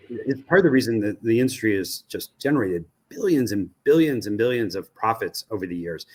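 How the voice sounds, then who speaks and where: An American man giving analytical answers as an expert guest in an interview. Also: fairly low pitch. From United States. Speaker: interview guest, radio research company president.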